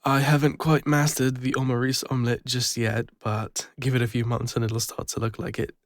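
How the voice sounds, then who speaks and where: A man with an English accent playing a gentle, bashful lone ranger and former healer in an intimate audio roleplay, speaking hesitantly. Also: mid-range voice, flat delivery, dry recording, lightly acted. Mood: bashful, reassuring. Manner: hesitant, soft-hearted, halting. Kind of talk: intimate scripted audio roleplay addressed to the listener.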